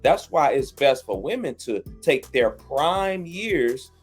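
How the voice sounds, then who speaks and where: A man's voice: medium pitch, big pitch swings, slow pace, husky, soft background music. Matter-of-fact male podcast host. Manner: matter-of-fact, lecturing, casual dialect grammar. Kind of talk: casual podcast chat.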